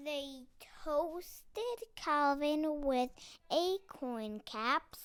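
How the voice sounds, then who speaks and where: A child reading a children's picture book aloud with deliberate, dramatic emphasis. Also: sits high, expressive, fairly bright tone, no room sound. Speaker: storybook reader.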